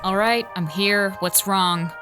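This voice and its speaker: A woman's voice: medium pitch, slow, music in the background, close to an everyday voice. A woman playing a busy, no-nonsense female spaceship commander in an audio drama, curt and businesslike. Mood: wary, impatient.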